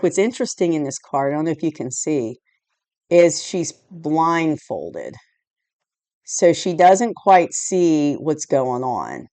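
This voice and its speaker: A woman with a low, hoarse voice, still recovering from a cold, talks through a tarot spread in a loose, meandering way. She leans on "you know" and "like" and sounds close and dry in a dim room at home. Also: a little mellow, no echo. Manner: rambling, loose, full of "you know" and "like", with light laughs. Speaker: tarot reader. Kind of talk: casual spoken tarot reading. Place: indoors at home, dim room lit by a candle and lamp.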